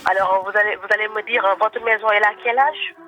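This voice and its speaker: A woman working as a telemarketer, giving a polite, explanatory sales pitch on a phone call. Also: fast, bright, forceful tone. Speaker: telemarketer selling solar panels. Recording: phone call over faint music.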